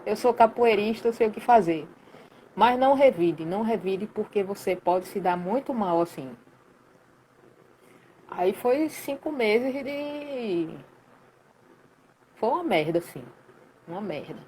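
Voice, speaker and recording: A woman in her late thirties from Recife with a Pernambuco accent, telling a story in a lively, colloquial way full of slang during a casual live chat. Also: medium pitch, a little slow, pauses often, slight background noise, low-quality recording. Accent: Pernambuco Portuguese. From Recife, Brazil. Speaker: guest, sound professional.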